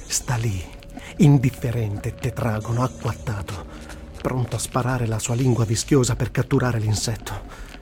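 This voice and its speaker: A man's voice: mid-range voice, fairly even intonation, measured pace, background noise, natural voice. A man playing a sardonic, weary but daring Italian war journalist in a theatrical radio reading of a first-person diary. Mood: tense, weary. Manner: theatrical, swinging from muttering to shouting. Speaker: voice actor reciting.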